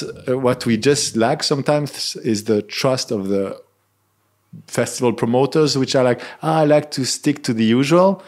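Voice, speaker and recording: A man in his thirties from Switzerland, a band member talking casually in an interview, earnest and often restarting his sentences. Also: darkish tone. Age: 30s. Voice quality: low-pitched voice. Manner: earnest, searching for words, frequent restarts.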